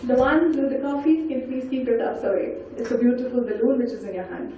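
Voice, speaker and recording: A woman giving an interactive storytelling talk, animated and coaxing as she puts questions and instructions to her listeners. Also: high voice, fast, slight background noise. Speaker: storyteller and speaker leading an audience activity. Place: large indoor event hall. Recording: very echoey hall, distant mic, dull sound.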